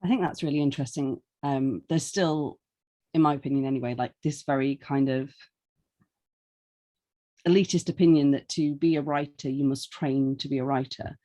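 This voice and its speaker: A woman's voice: a bass voice, fairly even intonation, normal speed, dark tone. A woman hosting a podcast interview, playful and chatty as she reads out listener questions. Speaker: podcast host.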